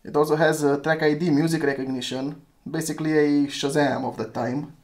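A chatty man talks through a hands-on gadget review, thinking aloud and chuckling at his own slip-ups. His voice sits a little higher than most men's. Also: steady tone, brisk, darkish tone. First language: Romanian. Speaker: retro phone reviewer.

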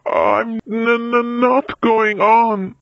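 A woman voicing a timid little male fuzzbuzzer in a children's story, with a nervous, breathy stammer. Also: a little high, steady tone, extremely slow, no echo, dull audio, pitched above the performer's own voice. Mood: frightened, upset.

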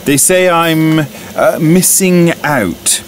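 A man's voice: a little high, very slow, slight background noise. An actor playing a tired, grumbling man in his late sixties in an audio drama, wry and hesitant. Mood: grudging resignation. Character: tired, grumbling 68-year-old man, wary of technology.

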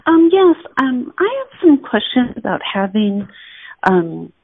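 Female customer caller, halting and unhurried, mid pitch, narrow telephone sound. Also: sing-song, husky. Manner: hesitant, halting. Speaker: customer calling. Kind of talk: phone enquiry. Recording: tinny narrow phone line.

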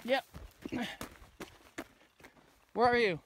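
A man's voice: very high-pitched, very slow, a little hiss or hum. A young man filming himself fishing at a mountain lake on a body-worn action camera, chatting enthusiastically about his catch. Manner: enthusiastic, upbeat, gushing over the catch. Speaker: fishing vlogger and scout troop leader. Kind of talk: casual outdoor fishing commentary. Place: outdoors at a mountain lake shore.

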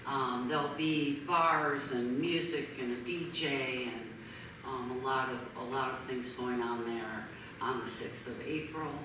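A middle-aged woman speaks at a gallery event, hesitant and reading from notes with many ums. Her voice comes from across a very echoey hall. Steady room noise sits under it. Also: rumbling low, measured pace. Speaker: curator introducing an artist. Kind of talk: gallery introduction, partly read aloud. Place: large gallery hall, indoors. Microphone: handheld mic heard from far off. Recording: echoey hall recorded from far off, background noise.

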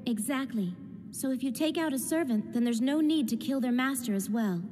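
A woman voicing a brisk, impatient teenage girl in an English anime dub, explaining things assertively. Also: sits high, warm tone.

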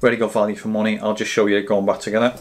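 Guy doing a camping gear review, lowish flat voice, explains it plainly, some noise behind him. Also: rapid-fire. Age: 30s to 40s. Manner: matter-of-fact, explanatory. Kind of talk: casual product review to camera.

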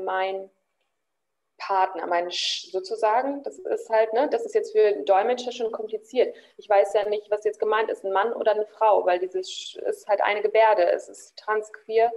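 A woman giving thoughtful interview answers over a video call, pausing often with fillers. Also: medium pitch, level tone, creaky, darkish tone. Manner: thoughtful, many pauses and fillers.

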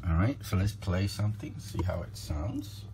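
A man's voice: sits low, lively intonation, normal speed. Man thinking aloud, noisy home recording. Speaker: product reviewer. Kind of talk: casual unboxing commentary. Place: indoors, at a kitchen counter.

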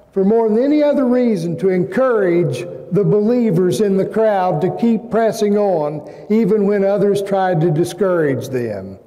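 An older man in his sixties delivers a sermon from a pulpit. His voice is fairly high for a man and very mellow. He phrases with emphasis and pauses, and the sound rings through a big church. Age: 60s. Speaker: pastor preaching to a congregation. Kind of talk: sermon with personal anecdotes. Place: large church sanctuary, indoors at a pulpit. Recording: reverberant church hall.

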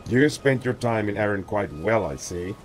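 A man doing a sardonic, gruff warrior. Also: on the low side, animated, moderate pace, slight background noise, natural voice. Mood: teasing. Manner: dry, teasing. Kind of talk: audiobook character voice.

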